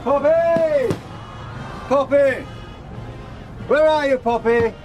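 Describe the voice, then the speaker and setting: An actor playing a drunken middle-aged spy in a radio drama, talking loudly and rambling as he confides. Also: extremely high pitch, very slow, over music, a crowd in the background, exaggerated voice. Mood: calling out. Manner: loud, swooping, rambling drunken talk.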